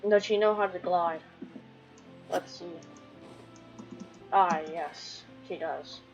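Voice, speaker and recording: A young woman casually comments on a video game in a slow, rambling, giggly way. Her mid-pitched voice sounds slightly dull, with music playing behind her. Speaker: gamer streaming a playthrough.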